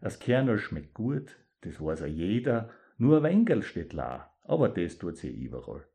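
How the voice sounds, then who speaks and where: Man, East Styrian Austrian accent, low voice, poet, thoughtful, hesitant pauses. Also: sing-song, vocal fry, mellow. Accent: East Styrian Austrian German. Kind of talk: dialect poetry reading and talk about it.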